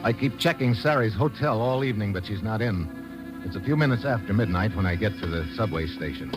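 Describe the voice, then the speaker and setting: Wry American agent, animated pitch, music behind, acted. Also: male voice, fairly quick, natural voice. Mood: tense. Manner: sardonic, slangy. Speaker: lead actor and narrator in a radio drama. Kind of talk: old-time radio drama, first-person hard-boiled narration.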